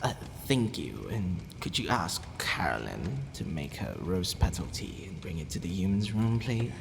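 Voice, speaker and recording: Male actor as haughty vampire lord, gravelly low voice, swooping intonation, brooding, formal, softening, long reverb, ASMR roleplay. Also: sing-song, moderate pace, full character voice. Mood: commanding, polite. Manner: brooding, formal, hesitant sighing musing. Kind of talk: ASMR audio roleplay acting. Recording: long reverb, faint background noise.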